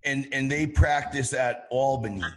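Halting male interviewer with a mid-range voice. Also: mid-range voice, steady tone. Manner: halting, restarts questions repeatedly. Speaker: show host, interviewer. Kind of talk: conversational interview.

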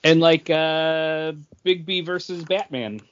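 A man's voice: fairly high pitch, takes it slowly. A man chatting casually as a podcast co-host, a bit hesitant in his phrasing. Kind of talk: casual chat. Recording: dull lo-fi audio.

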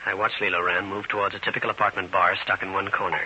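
An American actor playing a tough, brooding New York detective in an old-time radio drama, terse and world-weary. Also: male voice, low voice, level tone, quick pace, lightly acted. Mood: brooding narration. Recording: dull, hissy old radio recording.

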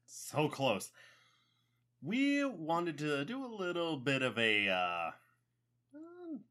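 A man's voice: on the high side, huge pitch swings, slow, pushed and bright. A man co-hosting a podcast in casual chat, with a voice that sounds like a radio announcer's and frequent laughter. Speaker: podcast co-host.

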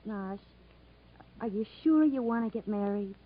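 An actress playing an earnest, cautious young country sweetheart in a 1950s American radio drama, gently pressing and a little hesitant. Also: female voice, medium pitch, unhurried, close to an everyday voice. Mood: earnest, gentle. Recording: dull 1950s radio broadcast recording.

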